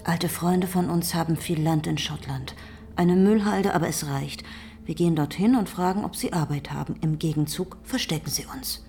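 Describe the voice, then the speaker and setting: An actress playing a determined farm woman in a radio play, decisive and urging, in short sentences with a husky, breathy voice. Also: low voice, moderate pace, mellow, slight background noise. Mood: urgent, resolute. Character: determined, urgent farm woman planning secret escape. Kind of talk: German radio play acting.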